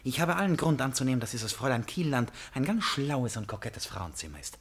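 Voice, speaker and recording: An actor in a German radio play as a drunken, domineering and lovesick stranger who swings abruptly from bullying to confiding. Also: male voice, medium pitch, very animated, brisk, breathy edge. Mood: menacing, irritated.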